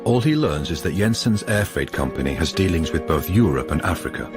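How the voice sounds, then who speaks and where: An actor playing the sombre storyteller of a police radio drama, reading scripted narration in a measured way. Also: male voice, sits low, animated, over music.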